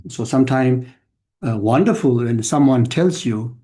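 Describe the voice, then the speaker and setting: An older man teaching the dharma to an audience. He speaks in halting fragments, often repeating words and restarting phrases, in a plain, reflective and self-mocking way. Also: medium pitch, mellow. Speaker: Buddhist teacher.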